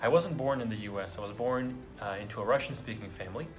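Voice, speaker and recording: A man's voice: lowish voice, quick pace. Earnest young man vlogging, faint music. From United States. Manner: earnest, encouraging, frequent ums and restarts. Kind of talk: casual talk to camera.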